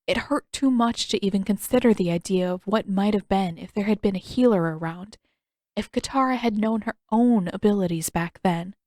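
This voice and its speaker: A woman narrates scripted fiction in the style of an audiobook. Her voice sits at an average pitch for a woman. It is recorded close and dry, with no audible room. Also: normal speed, darkish tone, no echo.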